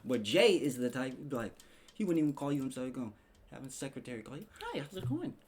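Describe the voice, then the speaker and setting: A man's voice: mid-range voice, expressive, fast talker. A man hosting a casual commentary show, talking in a slangy, sarcastic, profane way as he rants and mimics people. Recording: clearly noisy background.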